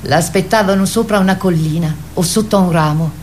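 A woman's voice: fairly low pitch, brisk, darkish tone, a little hiss or hum, lightly acted. A woman playing a wistful grandmother who tells fairy tales in a radio play. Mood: gentle, wistful. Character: wistful grandmother telling a fairy tale to her fearful grandchild. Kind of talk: theatrical storytelling in a radio play.

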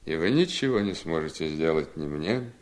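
An actor playing a gravely ill, embittered and stubborn husband in a 1970s radio drama, with a theatrical delivery. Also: male voice, extremely low pitch, lively intonation, moderate pace. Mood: quietly triumphant.

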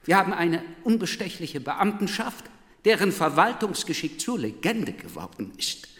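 A man's voice: sits high, big pitch swings, moderate pace, fairly bright tone, full character voice. A man acting a servile, nervous philosophy professor in a radio play, with obsequious, pedantic and flowery speech. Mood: fervent, deferential. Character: servile, nervous philosophy professor pleading before a high court. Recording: large hall with long reverb.

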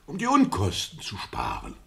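An actor playing a mild, simple-hearted gentleman in a period radio play, speaking in a hesitant, deliberate manner. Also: medium pitch, wildly swooping pitch, vocal fry. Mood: musing.

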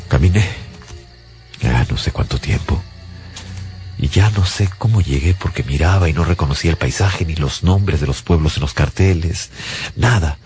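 Radio drama: haunted survivor giving grave testimony, extremely low voice, music underneath. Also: male voice, normal speed. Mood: weary, haunted. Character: haunted male survivor of an invasion, husband and father. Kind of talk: radio drama first-person narration. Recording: loud music bed behind the voice.

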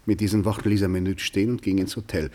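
Low-voiced male narrator. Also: flat delivery, fast, warm tone. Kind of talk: scripted literary narration in a radio play.

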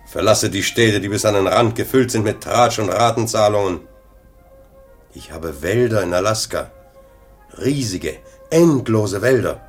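An actor playing a grandiose, tempting adventurer who appears as if in a dream, declaiming theatrically in a 1950s German radio drama. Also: male voice, lowish voice, a little slow, faint music behind, lightly acted. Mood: grand, luring.

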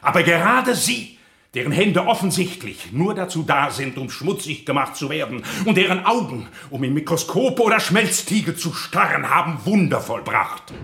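A fired-up visionary professor lecturing in a radio play, highish voice, big echoey room. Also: male voice, very animated, normal speed, vocal fry, sharp tone, exaggerated voice. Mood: solemn, fervent. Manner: rhetorical, solemn, rousing. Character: visionary, fervent male chemistry professor lecturing. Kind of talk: declaimed lecture in radio drama. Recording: echoing hall acoustic.

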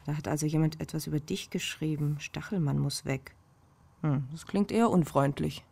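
A brisk, computer-savvy confidante in a radio crime drama. Her voice sits very low for a woman, and she explains things in a wry, matter-of-fact way. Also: fairly even intonation, creaky, a little mellow, husky, exaggerated voice. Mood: wry, explaining.

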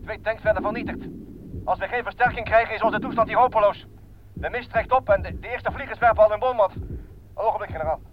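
An actor playing a harried, dutiful army lieutenant in a war radio play, clipped and formal. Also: male voice, high-pitched, quick pace, lightly acted. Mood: urgent, grave. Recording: muffled old radio recording, faint noise.

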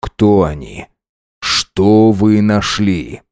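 A man's voice: mid-range voice, normal speed, a little mellow, no room sound, clean studio sound, close to an everyday voice. Man playing a harsh, commanding army colonel. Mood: irritated. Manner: barking orders, hissing, irritable.

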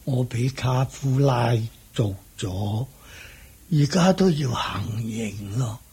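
A man with a Hong Kong Cantonese accent playing a grave, forgiving captured old king in a radio drama, solemn and drawn-out. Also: medium pitch, expressive, darkish tone, breathy edge, heavily acted. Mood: weary, resigned.

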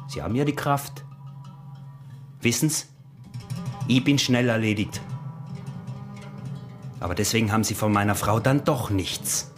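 Slow, menacing Austrian peasant husband played by a man. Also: lowish voice, over music, natural voice. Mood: menacing, shaken. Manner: deliberate, controlled, menacingly businesslike. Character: frail, cunning, possessive peasant husband and smuggler. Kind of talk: German radio drama acting.

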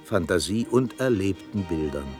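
An actor playing a reflective storyteller narrating a literary radio drama in a measured, dramatic manner. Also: male voice, low-pitched, lively intonation, loud music behind the voice. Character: reflective male storyteller recalling his own tale.

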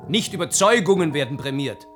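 A man's voice: fairly high pitch, expressive, a little fast, fairly bright tone, soft background music. An actor playing a proud young physicist, declaiming his elitist creed with self-assurance in a radio drama. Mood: proud, emphatic.

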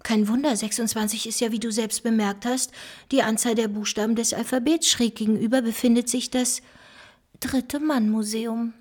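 A woman's voice: a little high, fairly even intonation, moderate pace, a little mellow, natural voice. An actress playing an analytical, knowledgeable female investigator with dry wit, explaining clues in a radio drama. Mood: explanatory.

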